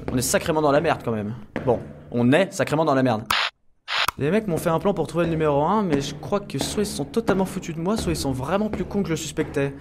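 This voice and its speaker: Fast, grumbling clone henchman, played by a man. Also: fairly high pitch, background noise, close to an everyday voice. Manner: rambling, sardonic, foul-mouthed. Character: grumbling, nervous, sarcastic male clone henchman. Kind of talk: French comedy audio drama acting, colloquial.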